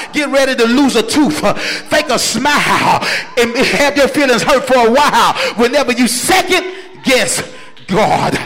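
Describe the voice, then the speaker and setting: A man preaching a fiery sermon with emphatic, rhythmic repetition and colloquial phrasing, often rising into shouts and sing-song. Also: very high voice. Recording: echoey hall with long reverb.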